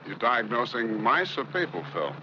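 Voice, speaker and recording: An actor playing a dry, casual, needling rocket engineer in 1950s film style. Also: male voice, mid-range voice, very animated, normal speed, natural voice. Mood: sardonic. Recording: muffled noisy 1950s film soundtrack.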